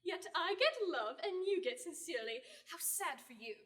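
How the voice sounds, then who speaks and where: A young woman acting a sharp-tongued, haughty royal lady in a radio play, dramatic and scornful. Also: extremely high pitch, expressive, moderate pace. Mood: coolly needling. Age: teenager.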